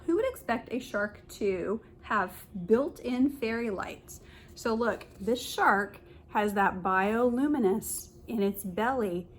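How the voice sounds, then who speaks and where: Woman teacher reading aloud, noticeable background noise. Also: a little high. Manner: explains and points things out to children in simple words. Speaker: kindergarten teacher. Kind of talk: picture-book read-aloud with explanatory asides for young children.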